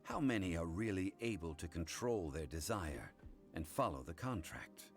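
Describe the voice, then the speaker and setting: An actor voicing a calm, formal man who upholds contracts, speaking slowly and with measured authority. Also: low-pitched. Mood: stern. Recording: played game audio with faint music.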